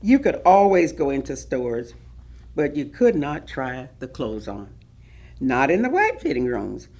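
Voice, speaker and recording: A woman's voice: very deep voice, big pitch swings, unhurried, a little mellow, exaggerated voice. An actress playing an indignant woman recalling past injustices in a staged reading. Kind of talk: staged monologue of oral history recollections.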